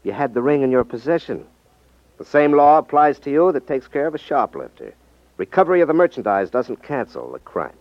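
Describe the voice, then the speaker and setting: An actor playing a confident, wry ex-magician turned detective in a 1950s radio drama, explaining things with self-assurance. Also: male voice, medium pitch, very animated, a little fast, no echo. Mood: wry, taunting. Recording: dull, dry 1950s radio recording.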